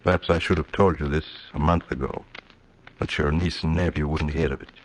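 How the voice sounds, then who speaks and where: An actor playing a grave, courtly small-town judge, formal and solicitous, in a 1940s radio drama on a noisy old recording. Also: male voice, rumbling low, fairly even intonation, fairly quick. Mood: regretful, grave.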